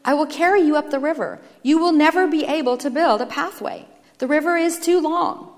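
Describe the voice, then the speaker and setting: Woman as a high-voiced, patient eldest brother. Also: fairly quick, in a large hall. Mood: earnest, compassionate. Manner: gentle, earnest, pleading. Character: patient, loving eldest brother, earnest male rescuer.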